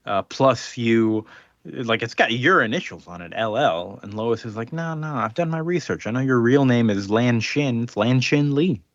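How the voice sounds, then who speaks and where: A man's voice: mid-range voice, fairly bright tone, no echo, lo-fi sound. Man casually recapping a comic plot. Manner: rambling plot recap full of fillers. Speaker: podcast co-host. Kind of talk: casual podcast chat.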